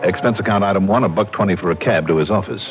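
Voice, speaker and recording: An American actor playing a wry, slangy, hard-boiled insurance investigator in an old-time radio detective drama. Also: male voice, low-pitched, fast talker, close to an everyday voice. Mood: wry narration. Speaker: lead actor and narrator. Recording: muffled vintage radio recording with music.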